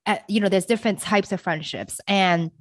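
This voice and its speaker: A woman's voice: mid-range voice, moderate pace, dry recording, clean studio sound. Bubbly, chatty young podcast host woman. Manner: bubbly, chatty, laughs often, rambling questions. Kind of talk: casual interview chat.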